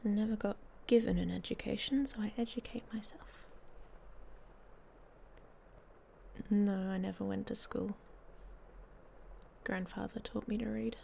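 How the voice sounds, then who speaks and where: Slow, creaky, whispering sardonic she-wolf played by a woman. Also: medium pitch, a little mellow, slight background noise. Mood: matter-of-fact, guarded. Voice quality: breathy whisper with creaky edges. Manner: dry, hesitant, intimate. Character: sardonic, guarded young she-wolf werewolf woman, intimate with her lover. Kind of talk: ASMR romance roleplay, whispered.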